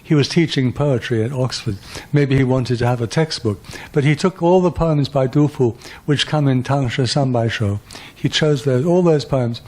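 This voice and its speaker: An older man in his seventies takes audience questions after a lecture. His voice is mellow and dark, and he talks in a loose, discursive way with a dry, self-mocking humour. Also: mid-range voice, moderate pace, hardly any pauses. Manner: discursive, wry and self-deprecating. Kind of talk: conversational lecture Q&A.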